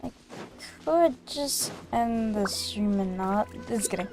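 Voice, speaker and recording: A woman's voice: mid-range voice, expressive, slow pace, background noise. A woman chatting casually and in a giggly, rambling way while streaming a video game. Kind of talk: casual gameplay chat.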